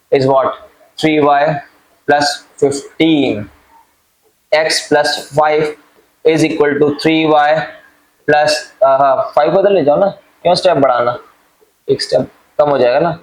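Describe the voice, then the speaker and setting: A man works through a school math problem, talking fondly to his students and tossing out questions that he answers himself. His voice sits a little high and rings around a bare, echoey room. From India. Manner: coaxing, calls students "beta", asks then answers his own questions. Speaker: math teacher. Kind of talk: step-by-step math lesson mixing Hindi and English.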